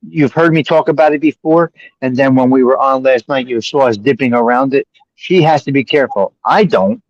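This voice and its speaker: A man's voice: mid-range voice, a little fast, no room sound. Man hosting with a muffled, dry sound. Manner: rambling, circles back and repeats himself. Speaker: podcast host. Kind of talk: casual conversation.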